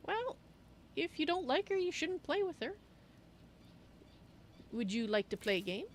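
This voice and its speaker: A woman's voice: high-pitched, very animated, a little slow, slight background noise. A woman playfully musing aloud as she narrates her video game roleplay in character.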